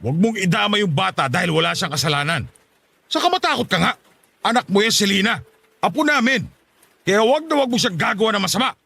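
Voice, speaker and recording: Angry protective filipino dad in radio drama, gravelly and sharp, scolding. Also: male voice, sits high, very animated, quick pace, heavily acted. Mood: stern warning. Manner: scolding, forceful. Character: indignant, hot-tempered protective father of grown daughter.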